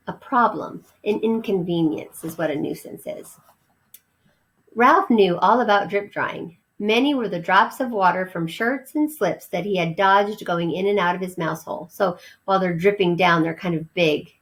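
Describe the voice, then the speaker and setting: A woman's voice: medium pitch, poor audio. Woman reading a storybook aloud, explaining words. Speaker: teacher reading aloud to children.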